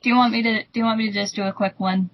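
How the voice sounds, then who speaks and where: A woman's voice: on the high side, fairly even intonation, very fast, dry recording. A woman giving casual, blunt, opinionated commentary through a dull lo-fi microphone.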